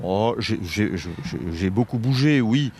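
A man in his fifties with a fairly low voice, answering interview questions in a relaxed, halting way. He pauses often and restarts his sentences. A faint hiss of background noise runs under the recording. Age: 50s. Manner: hesitant, many fillers and restarts. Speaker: interview guest, Masonic official. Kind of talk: casual interview talk.

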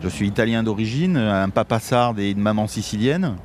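A man's voice: fairly low pitch, expressive, moderate pace. A man in his fifties, a guest in an interview, talking casually with many fillers and restarts. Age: 50s. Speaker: interview guest, Masonic official. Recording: faint background noise.

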